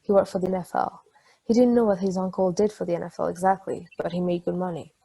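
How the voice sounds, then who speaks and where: A woman's voice: fairly low pitch, fast, vocal fry. A woman playing a playful, chatty married ex-athlete in a casual, conversational stage play table read. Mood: chatty storytelling. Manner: casual, swearing, animated storytelling.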